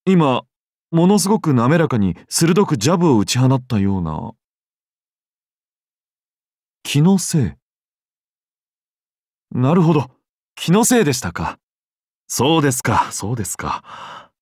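An actor playing a devout, courteous Byzantine emperor in a game, speaking formally and reverently. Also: male voice, mid-range voice, sing-song, a little slow, pauses often, a little mellow, dry recording, clean studio sound. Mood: puzzled, weary. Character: solemn, devout, courteous Byzantine emperor, humble and self-doubting. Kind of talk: scripted game character dialogue.

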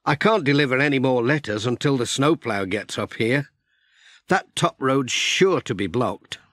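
A man's voice: mid-range voice, expressive, normal speed, a bit bright, no echo, studio quality. A man voicing a kindly, concerned village postman, friendly and practical, in a children's story. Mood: helpful.

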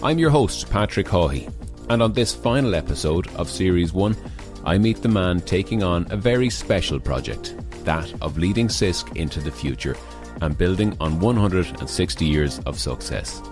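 Deep-voiced podcast host over music. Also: male voice, expressive, moderate pace, few pauses. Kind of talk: scripted podcast introduction and interviewing. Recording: music bed under the voice.

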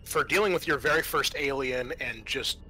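A man acting as game master, narrating a tabletop game in a halting, conversational way. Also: medium pitch, pushed and bright, a little hiss or hum, poor audio.

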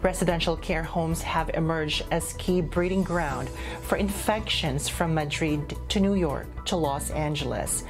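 Lower-pitched woman news anchor over music. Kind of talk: formal news reading and interviewing.

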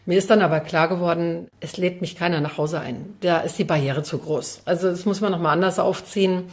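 A middle-aged woman from Hesse, a district mayor, chatting casually and lively in an interview, laughing easily. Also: on the low side, brisk. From Hesse, Germany.

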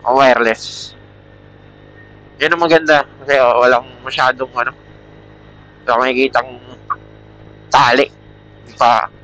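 A man's voice: mid-range voice, fairly even intonation, measured pace, pauses often, fairly bright tone. A man with a Filipino accent chatting casually as a motovlogger while riding, recorded through a helmet intercom mic. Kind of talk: casual rambling vlog talk with product pitch. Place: outdoors, riding a motorcycle at night. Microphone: Bluetooth helmet intercom mic. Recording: muffled helmet intercom mic, band-limited.

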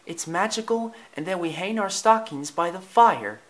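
An eager, loving mother dog from a children's story , acted out by a man. The voice is lifted high and is gentle and reassuring as it talks to the family. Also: animated, moderate pace.

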